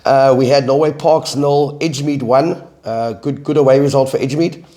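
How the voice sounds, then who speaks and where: Brief: South African male co-host, croaky after flu, steady and measured, mid-pitched. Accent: South African English. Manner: reads results in a measured, steady way. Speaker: co-host, football coach. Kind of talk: casual sports chat.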